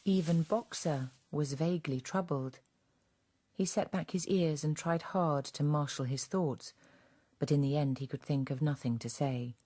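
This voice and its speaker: A man's voice: a little high, steady tone, measured pace, mellow. A man giving dramatic scripted narration as the storyteller of a radio drama.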